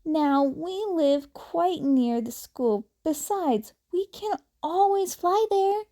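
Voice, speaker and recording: A woman playing an earnest teenage boy from a storybook, polite and eager. The voice jumps well above her narration, light and boyish, with a slow, careful delivery. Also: very high voice, expressive, warm tone, voice pushed up, heavily acted.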